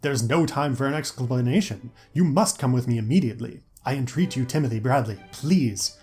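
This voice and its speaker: A man's voice: mid-range voice, brisk, a little mellow, natural voice. Man as gallant old-world hero, foreign accent, grave courtly speech, desperate earnestness, storybook over music. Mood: urgent. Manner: solemn, stilted, archaic courtesy. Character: earnest tall heroic vampire hunter, formal and desperate.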